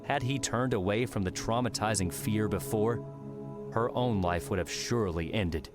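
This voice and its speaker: A man's voice: fairly low pitch, moderate pace, music in the background. A man narrating an audiobook, reading a scripted adventure story in a dramatic storytelling style.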